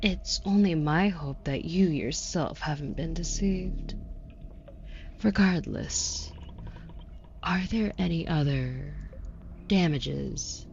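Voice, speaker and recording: Female demon, played by an actress, audio roleplay. Deep for a woman, slow, sardonic drawl, imperious and flirtatious. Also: gravelly, a little mellow, exaggerated voice. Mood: cool, probing. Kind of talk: scripted audio roleplay acting. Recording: muffled, with audible background noise.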